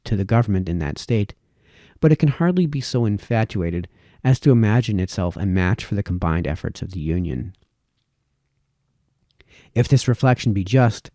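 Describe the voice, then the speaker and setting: A man reading formal historical essays aloud in a measured, deliberate manner, now and then stumbling over a word. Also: lowish voice, mellow, no room sound, studio quality. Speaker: reader, narrator.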